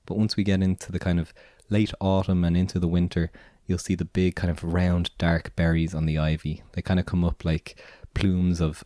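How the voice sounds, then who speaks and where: A raspy Irish man, hoarse from a cold, talks through his podcast in a deep, mellow voice. He keeps a flat, even tone and meanders through his points with plenty of filler words, recorded close and dry. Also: dry recording. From Ireland. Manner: relaxed, meandering, many "kind of" fillers. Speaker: podcast host and gardener. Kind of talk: casual spoken monologue.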